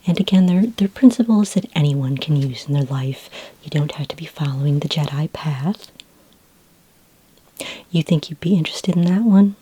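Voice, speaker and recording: A woman speaking in a soft, breathy whisper as a friendly, patient librarian in a gentle ASMR roleplay. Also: low-pitched, expressive, noisy background. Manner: gentle, unhurried, helpful customer-service patter. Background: computer keyboard typing.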